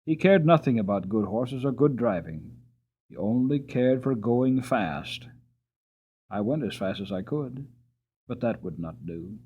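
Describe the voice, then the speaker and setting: A man's voice: medium pitch, lively intonation, measured pace, warm tone, breathy edge, lo-fi sound. A man voicing a troubled, sweet-tempered mare in a storybook, explaining herself plaintively and earnestly. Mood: wistful, earnest.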